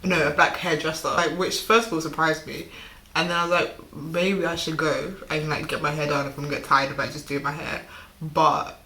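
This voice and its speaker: Young vlogger, mid-pitched, casual and rambling, recorded with noticeable room echo. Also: level tone, sharp tone. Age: young adult. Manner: rambling, chatty, full of "like" fillers and quick laughs. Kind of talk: casual vlog chat. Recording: roomy echo.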